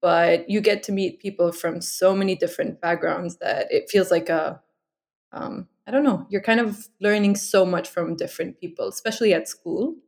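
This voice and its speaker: A woman's voice: fairly low pitch, normal speed, creaky. Cheerful young woman guest chatting. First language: French-educated Lebanese. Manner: cheerful, laughs often. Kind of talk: casual chat.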